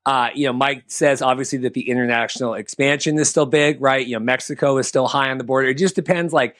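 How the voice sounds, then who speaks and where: Male sports podcast host, mid-pitched, conversational and rambling, close dry recording. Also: fairly even intonation, quick pace, a bit bright, dry recording. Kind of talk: casual sports talk with banter.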